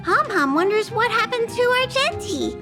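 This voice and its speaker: Tiny squeaky mascot creature. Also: female voice, sing-song, moderate pace, music in the background, exaggerated voice. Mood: a little sad. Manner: childlike, refers to self in third person. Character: small, cute, tender-hearted mascot creature train conductor. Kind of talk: cute video game creature voice.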